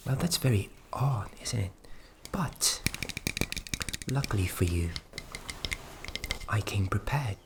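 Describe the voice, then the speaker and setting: A performer voicing a flustered, kindly fairy magic specialist in a fantasy ASMR roleplay, speaking softly and hesitantly, often dropping into a whisper. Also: medium pitch, expressive, heavy background noise. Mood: helpful, gentle. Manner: hesitant, halting, repeats words, often whispering.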